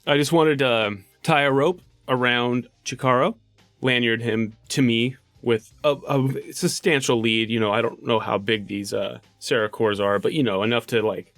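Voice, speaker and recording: A man's voice: mid-range voice, steady tone, normal speed, a bit bright, no room sound, soft background music. A man playing a tabletop game, talking casually and explaining his moves in a matter-of-fact way.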